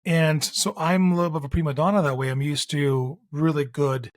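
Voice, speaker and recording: A man's voice: fairly high pitch, fairly even intonation, a little fast. A man from Canada hosting a tennis podcast, chatting casually and telling stories with frequent restarts. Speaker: podcast host. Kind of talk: casual interview chat.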